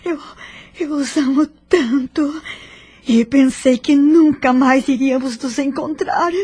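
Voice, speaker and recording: A Brazilian woman playing an emotional, devout daughter in a spiritist audio drama, tender and eager, in a husky voice. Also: high-pitched, fairly even intonation, slow, a little mellow. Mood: moved, longing.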